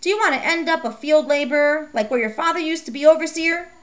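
A woman playing a sharp, scolding mother. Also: high voice, quick pace, pitched above the performer's own voice, natural voice. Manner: scolding, nagging questions. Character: strict scolding mother, middle-aged woman.

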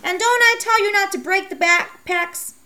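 A woman voicing a strict, nagging mother who scolds her daughter with rapid questions. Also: extremely high pitch, bright, forceful tone, voice pushed up. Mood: scolding.

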